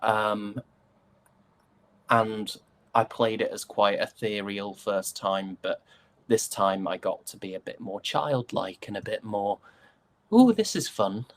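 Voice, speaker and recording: Low-pitched British man chatting. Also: expressive, slow. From United Kingdom. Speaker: interview guest, stage and voice actor. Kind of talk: casual interview chat.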